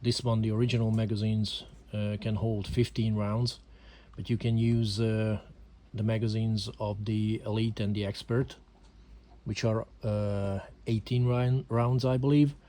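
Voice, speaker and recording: A man's voice: lowish voice, level tone, unhurried, warm tone. A man from Hungary with a Hungarian accent, speaking English as a second language and hesitantly explaining a handgun in a casual home video, with many fillers. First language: not English. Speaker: amateur gun reviewer. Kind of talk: casual amateur video talk.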